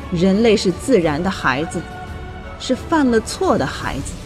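A woman playing a solemn scientist in an audio drama, reciting prophetic scripture in a measured way. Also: mid-range voice, dark tone.

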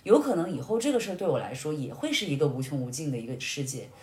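A woman's voice: sits low, very animated, fast talker, a little mellow. A woman talking expansively and reflectively as an interview guest, illustrating her points with anecdotes. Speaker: podcast guest. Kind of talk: reflective conversational interview talk. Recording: roomy, echoey sound.

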